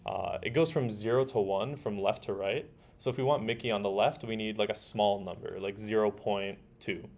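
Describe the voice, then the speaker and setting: A man leading an informal hands-on tutorial, explaining steps in a casual, halting way as he thinks aloud. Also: lowish voice, steady tone, darkish tone. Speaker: workshop instructor. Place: indoor workshop room. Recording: noisy room with keyboard typing. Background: keyboard typing, room noise.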